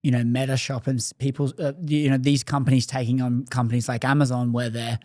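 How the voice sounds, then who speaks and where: A man's voice: mid-range voice, flat delivery, brisk, a little mellow, no echo, studio quality. A man hosting a podcast, asking long rambling questions full of fillers. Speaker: podcast host. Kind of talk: casual interview questions.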